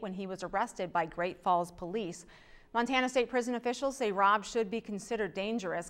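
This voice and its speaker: A middle-aged woman delivers scripted news from a TV studio. Her voice sits at a medium pitch, and she reads as a news anchor does. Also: a bit bright.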